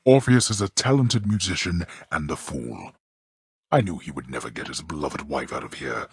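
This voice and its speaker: A stern god of the underworld for a video game. The voice is husky and close, in a very dry recording. He speaks with formal disdain, swinging widely in pitch as he issues imperious commands like a scornful father. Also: expressive, moderate pace, no echo, heavily acted. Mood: scornful, displeased. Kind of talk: theatrical video game character lines.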